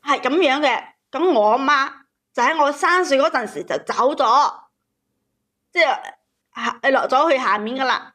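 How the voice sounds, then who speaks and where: High voiced woman phoning in w her personal story, plaintive n kinda aggrieved. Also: animated, normal speed, sharp tone. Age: 30s. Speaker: caller.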